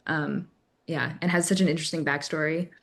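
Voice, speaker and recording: A woman speaks as a guest on a podcast panel. Her voice is slightly low, and she keeps to a casual, conversational style. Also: fairly even intonation, brisk, gravelly. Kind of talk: casual chat, discussion.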